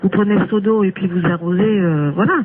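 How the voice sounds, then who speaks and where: A woman's voice: lowish voice, fast talker. Through a thin, noisy telephone line, a female receptionist speaks in a polite, hesitant way. She sounds bemused, searching for words as she reasons, and her phrases are conversational. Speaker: cemetery office receptionist. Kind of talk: phone conversation.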